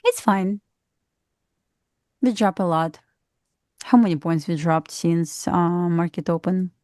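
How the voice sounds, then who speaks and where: A woman from Belarus with a Russian accent, giving calm, matter-of-fact market commentary on a live trading stream. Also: lowish voice, slow pace, no room sound, clean studio sound. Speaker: trader co-host.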